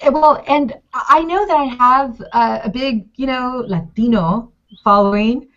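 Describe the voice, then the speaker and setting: A woman's voice: on the high side, measured pace, a bit bright. A Mexican-born woman, a native Spanish speaker, chatting on a video call as a food blogger, goofy and chatty with lots of laughs and restarts. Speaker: consulting client, food blogger. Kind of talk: casual video call chat. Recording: slightly dull video call audio.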